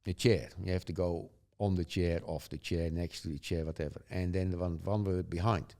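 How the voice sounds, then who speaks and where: A man's voice: sits low, steady tone, moderate pace, warm tone. An older man from the Netherlands with a Dutch accent, telling stories in casual chat, chuckling often and rambling with tag questions. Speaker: guest.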